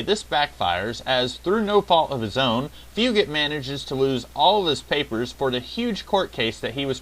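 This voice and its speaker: Animated man narrating a review. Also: mid-range voice, rough recording. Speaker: video reviewer, host of a series of episode reviews.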